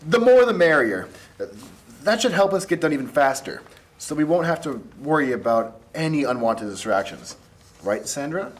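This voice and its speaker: A man's voice: on the high side, big pitch swings, moderate pace. A man playing an awkward, lovestruck college student in a table read of a drama script. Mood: upbeat, evasive. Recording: faint noise, amateur room recording.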